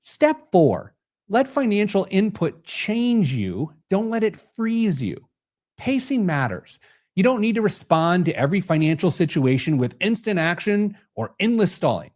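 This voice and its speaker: A man's voice: high voice, sing-song, unhurried, a little mellow. A man hosting a podcast, delivering a scripted monologue in a wry, joking, conversational manner. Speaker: podcast host. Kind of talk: scripted personal finance monologue.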